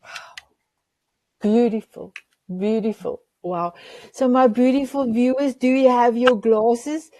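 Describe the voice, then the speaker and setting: A woman's voice: a little high, slow pace, pauses often, a little mellow, slight background noise, low-quality recording. A woman whose first language is Afrikaans, hosting a talk show in an effusive way, often searching for words. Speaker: show host.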